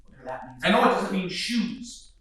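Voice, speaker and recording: A man's voice: high-pitched, lively intonation, brisk, pushed and bright, a little hiss or hum. An actor playing a restless young adult son, wry and jittery, who stumbles over his words. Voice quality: wry, jittery, stammering restarts. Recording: echoey roomy sound from far off.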